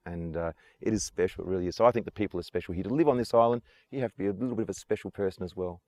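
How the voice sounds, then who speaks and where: A man's voice: low voice, racing, darkish tone, no echo. An Australian man from Adelaide, working as a tour guide, answers interview questions outdoors in a casual, enthusiastic and earnest way, and keeps repeating his praise. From Adelaide, South Australia. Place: outdoors on an island.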